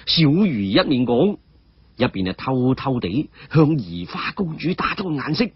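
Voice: mid-range voice, very animated, normal speed, husky, no room sound. A storyteller with a Cantonese accent narrating a martial-arts tale in a dramatic audiobook style. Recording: dull, muffled audio.

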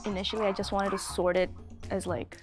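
Young woman of twenty, light chatty panelist, soft music bed. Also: medium pitch, flat delivery, brisk. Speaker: panel participant.

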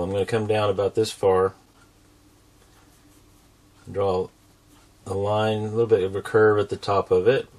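A middle-aged American man with a fairly low voice gives a step-by-step drawing lesson. He explains things simply and patiently, as if for children. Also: a little mellow. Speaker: drawing instructor and show host.

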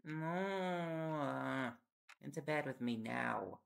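Man acting a gravelly, affectionate young boyfriend. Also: fairly high pitch, very slow, full character voice. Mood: playful indignation. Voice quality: gravelly, creaky. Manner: playful coaxing, chatty. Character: affectionate, teasing young male reporter boyfriend. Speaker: audio roleplay voice actor. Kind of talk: intimate boyfriend audio roleplay, sleep aid. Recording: loud background noise with clicks. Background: ticking and clicking sounds.

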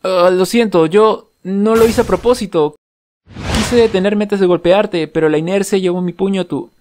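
A man's voice: high voice, normal speed, noisy background, close to an everyday voice. A man with a Latin American Spanish accent voicing an easygoing, mildly exasperated hero in an anime fan dub, casual and offhand. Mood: apologetic.

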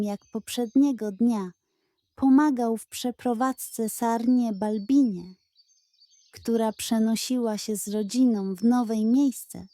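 A woman tells a children's bedtime tale at an unhurried pace. Her voice is soft-edged and mellow, on the higher side. She reads gently and expressively, as a storyteller does. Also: no echo. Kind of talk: scripted storybook narration.

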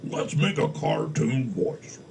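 A man voicing a slow, sing-song cartoon character in a voice demo. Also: mid-range voice, very animated, a little mellow. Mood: playful. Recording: faint background noise, played-back clip.

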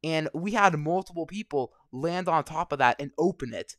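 A man's voice: on the high side, moderate pace, fairly bright tone, no room sound, clean studio sound. Profane ranting young gamer guy. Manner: angry, swearing constantly, rambling. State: voice going hoarse. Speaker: gaming vlogger. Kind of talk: profane ranting commentary over gameplay.

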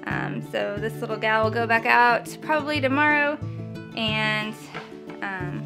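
A woman in her thirties narrating a casual homestead vlog in a gentle, soft-spoken way, recorded on a handheld camera indoors. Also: a little high, a little slow, vocal fry. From Missouri, USA. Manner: soft-spoken, gentle, talks to animals and children. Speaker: homesteading vlogger. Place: farm building and home kitchen, indoors. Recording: music playing under the voice. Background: background music.

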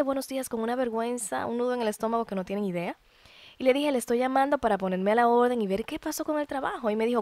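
A Dominican woman in her early thirties hosting a podcast, telling a personal testimony in a heartfelt, expressive way with colloquial asides. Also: fairly high pitch, no room sound. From Dominican Republic. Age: early 30s. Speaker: podcast host.

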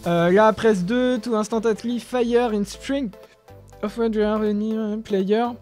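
A young man giving excited commentary over his own gameplay, exclaiming often and talking straight to his viewers, recorded on a desk mic with headphones on. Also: high voice, slow pace, soft background music. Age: young adult. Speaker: gaming video creator.

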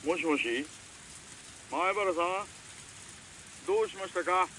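A man's voice: high-pitched, moderate pace, bright, forceful tone, low-quality recording. An actor playing an older male investigator in a visual novel, polite, insistent, formal and probing. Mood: questioning, insistent. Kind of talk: Japanese visual novel acting. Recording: faint rain and hiss behind.

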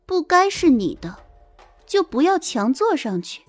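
A woman voicing a haughty, menacing young concubine in an audio drama, sneering and scolding with drawn-out threats. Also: high voice, very animated, faint music behind, pitched above the performer's own voice. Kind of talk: audio drama character acting.

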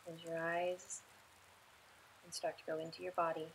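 Low-voiced woman guiding a meditation. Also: flat delivery, gravelly, noisy background. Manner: soothing, unhurried, instructing in short pauses. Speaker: meditation guide. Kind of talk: guided relaxation meditation.

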